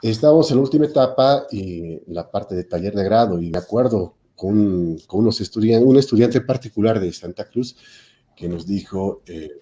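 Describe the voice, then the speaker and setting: A man speaks as a lecturer on a video call, his voice sitting somewhat low and sounding very dark and mellow. He explains at his own pace but stumbles often, filling gaps with "eh" and correcting himself mid-sentence. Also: moderate pace. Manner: hesitant, frequent "eh" fillers and self-corrections. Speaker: technical institute lecturer. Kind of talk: panel talk explaining online teaching.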